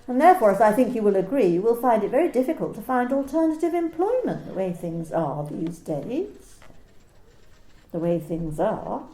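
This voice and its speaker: A cold, controlling company manager, as a woman acts her out, clipped and coolly formal. Her voice sits high and swings widely in pitch but has a mellow, dark tone. It is recorded in an echoey room at home, like an amateur radio play. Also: very animated, normal speed. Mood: stern. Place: home during lockdown.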